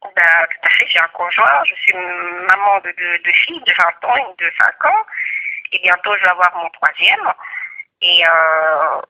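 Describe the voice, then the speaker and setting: A woman's voice: on the low side, animated, a little slow, sharp tone, low-quality recording. A woman in her forties from DR Congo, answering interview questions hesitantly with many fillers and indignant denials.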